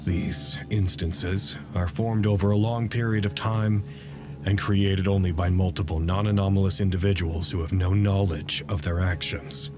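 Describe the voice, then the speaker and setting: Audio drama scientist played by a man: low male voice, measured, dry, deliberate log narration over music. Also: fairly even intonation, close to an everyday voice. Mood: measured, clinical. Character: measured male scientist recording logs about an anomaly.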